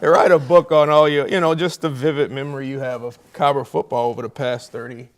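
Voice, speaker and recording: A man's voice: mid-range voice, steady tone, brisk. A man with an American accent hosting a sports interview show, putting conversational questions to his guests. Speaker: host of a college football coaches' show.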